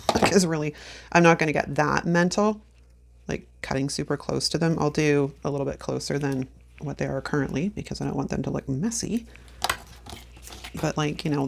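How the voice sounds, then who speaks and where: A low-pitched woman in her fifties with a gravelly edge, chatting to herself as she works through a planner spread. She sounds a little sniffly from allergies and breaks into easy laughs. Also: a little hiss or hum. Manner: chatty, self-talking while crafting, laughs often. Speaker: planner vlogger. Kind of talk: casual plan-with-me chat.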